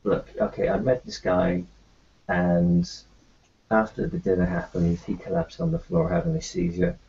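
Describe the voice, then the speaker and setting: A man roleplaying his character in a tabletop game, talking in a halting way full of fillers and restarts. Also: medium pitch, big pitch swings, gravelly, mellow, breathy edge. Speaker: player voicing his doctor character. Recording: dull lo-fi recording.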